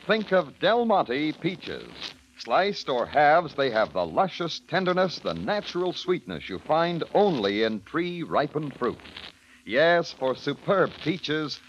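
A man's voice: on the high side, a little hiss or hum. A man with an American accent making polished, emphatic scripted announcements in 1950s radio style. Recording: muffled old radio, music behind.

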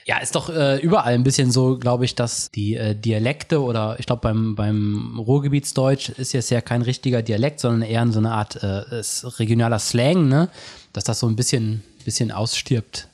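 A man's voice: medium pitch, normal speed, a little mellow. Hesitant man from the Ruhr area. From Ruhr area, Germany. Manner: thoughtful, hesitant, many fillers and restarts. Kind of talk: casual chat.

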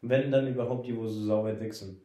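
Young man, podcast co-host, mumbling, joking, dark tone, roomy echo. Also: mid-range voice, fairly even intonation, quick pace. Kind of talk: casual chat.

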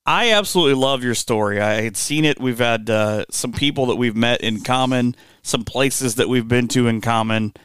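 A man's voice: medium pitch, moderate pace, few pauses, fairly bright tone, clean tone, no echo, clean studio sound. A man hosting a sports podcast, chatting in an easygoing, conversational way. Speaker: sports radio host.

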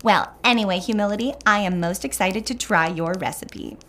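A woman's voice: medium pitch, normal speed, a bit bright, close to an everyday voice. A woman playing a prim, melodramatic Puritan gossip, falsely sweet and self-satisfied, in a comic audio drama. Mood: sweetly catty. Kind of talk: comedic audio drama acting in archaic English.

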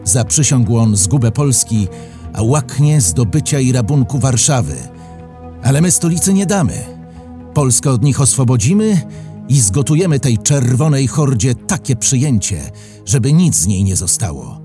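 A man's voice: medium pitch, big pitch swings, normal speed, over music. A man giving a dramatic reading of a historical military proclamation. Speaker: reader of historical texts.

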